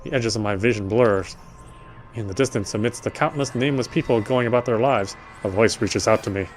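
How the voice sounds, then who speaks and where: A man's voice: lowish voice, a little fast. A man gives a dramatic read-aloud of a horror tale with music playing behind him. He narrates in the first person, occasionally tripping on a phrase and going back over it. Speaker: gameplay streamer reading a visual novel aloud. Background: game music.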